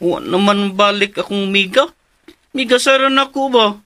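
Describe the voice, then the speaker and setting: A piercingly high, bright voice a simple-minded, childlike young man. He pouts and repeats himself like someone easily hurt, in full radio drama style. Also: moderate pace. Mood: curious, touchy. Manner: whining, pouting, repetitive.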